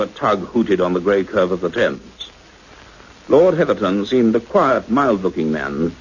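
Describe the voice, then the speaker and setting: An earnest, methodical scientist played by a male actor speaks in a fairly low voice with formal, measured precision. It is a radio drama on a muffled recording with faint noise. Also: lightly acted. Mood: atmospheric narration. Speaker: actor playing the lead and narrator. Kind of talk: radio drama acting and scripted narration.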